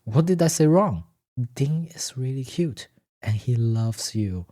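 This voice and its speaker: A man's voice: medium pitch, lively intonation, unhurried, dark tone. A man voicing a blunt, scolding mature woman accountant in an audiobook story. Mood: exasperated scolding.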